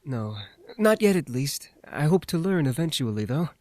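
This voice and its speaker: An actor playing a gentle, reserved young male demon. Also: on the high side, very animated, normal speed, no room sound, clean studio sound. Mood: gentle, hesitant.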